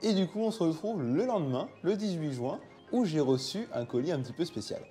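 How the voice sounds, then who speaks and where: A young man in his twenties narrates a laid-back recap for his viewers, speaking into a lapel mic indoors. His tone is mellow and dark, a little high for a man, with faint music under it. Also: brisk. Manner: relaxed, recaps events for viewers. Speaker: vlogger. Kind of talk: voice-over narration. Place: indoors at home.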